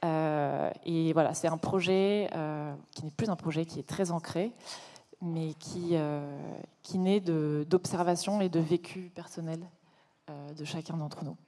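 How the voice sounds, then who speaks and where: A woman's voice: deep voice, fairly even intonation, unhurried. A woman speaking on a conference panel as a co-founder, hesitant with many fillers. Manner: hesitant, many fillers, warming up as she goes. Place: conference hall.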